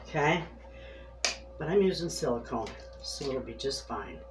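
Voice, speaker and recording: A woman's voice: a bass voice, expressive, measured pace, over music. A woman chatting homily to her viewers as she preps food in her home kitchen. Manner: chatty, homey, talks straight to viewers. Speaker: homesteading cooking vlogger. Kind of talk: casual kitchen demonstration. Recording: echoey kitchen with clear background noise.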